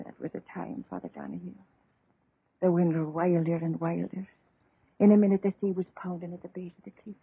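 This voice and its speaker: An actress playing a frail, pious, grieving old widowed mother in 1930s radio horror, husky and lamenting. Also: medium pitch, no room sound, full character voice. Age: older. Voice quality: husky, rough. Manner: plaintive, lamenting storyteller with pious exclamations. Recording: tinny, band-limited 1930s radio recording.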